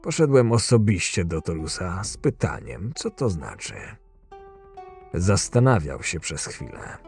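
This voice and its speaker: Man acting Kruk, a deep-voiced sardonic camp enforcer. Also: sing-song, unhurried, gravelly, darkish tone, soft background music, exaggerated voice. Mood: sardonic, brooding. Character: Kruk, proud short-tempered cynical male camp enforcer narrating his tale. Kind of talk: dramatised first-person narration, fan audio drama.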